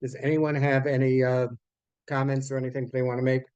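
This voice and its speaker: A man teaching an online class in an informal lecture style, explaining things haltingly and often correcting himself mid-sentence. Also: mid-range voice, flat delivery, darkish tone, clear, resonant tone, no echo, highs cut off. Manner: halting, explanatory, with repeated phrases and self-corrections. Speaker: online course teacher of academic English writing.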